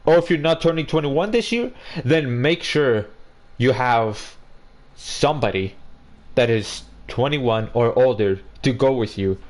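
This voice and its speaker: A man's voice: medium pitch, lively intonation, unhurried. A man of about twenty chatting casually on a podcast as co-host, rambling and restarting his sentences. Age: 20s. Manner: rambling, repeats and restarts words. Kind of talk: casual chat.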